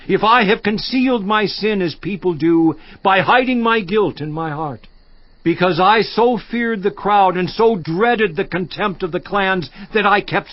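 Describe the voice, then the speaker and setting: A man's voice: sits high, no echo, dull audio. An actor in a dramatized audio Bible playing an anguished, righteous man who solemnly protests his innocence to God. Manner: slow, solemn, impassioned declamation.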